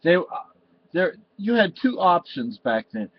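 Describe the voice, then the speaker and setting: A man's voice: high voice, sing-song, a little slow, husky. Older man rambling into a webcam. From Spain. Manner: meandering, emotional, lots of "you know" and restarts. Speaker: vlogger. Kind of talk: rambling personal monologue to camera. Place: cluttered room at home, indoors. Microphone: webcam in front of him. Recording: slightly dull lo-fi webcam audio.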